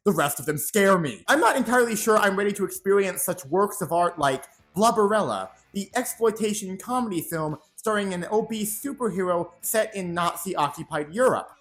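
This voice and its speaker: Sarcastic, animated movie-review narrator man. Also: sits high. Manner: sarcastic, deadpan asides with sudden dramatic reactions. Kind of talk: comedic scripted video review narration.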